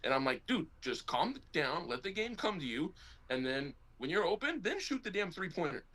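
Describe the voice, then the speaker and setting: Animated, bright-voiced man telling stories. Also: high-pitched, normal speed. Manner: rambling, animated storytelling, loud when excited. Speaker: podcast co-host and youth basketball coach. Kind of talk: casual sports chat.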